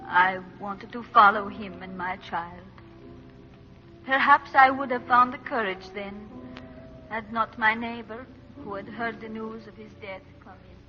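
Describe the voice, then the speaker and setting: A woman playing a slow, intense grieving widow. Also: fairly high pitch, steady tone, natural voice. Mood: bleak, impassioned. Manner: solemn, deliberate, intense. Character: bereaved widowed mother solemnly recounting her tragedy. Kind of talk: dramatic first-person radio narration, 1940s. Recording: muffled vintage radio, faint music.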